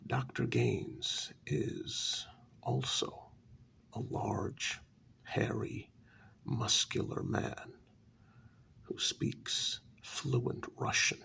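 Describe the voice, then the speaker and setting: A man playing a grave, troubled asylum staffer in a soft-spoken ASMR role-play, telling a dark story in slow, halting phrases with a breathy, gravelly half-whisper. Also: medium pitch, heavily acted. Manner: slow, halting, deliberate short phrases with long pauses.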